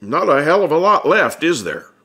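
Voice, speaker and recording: A man's voice: fairly high pitch, very animated, normal speed, bright, forceful tone, pitched above the performer's own voice, exaggerated voice. A man voicing a brash, teasing middle-aged celebrity with a breezy, swaggering manner in an audiobook. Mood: grinning reproach. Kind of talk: audiobook character dialogue.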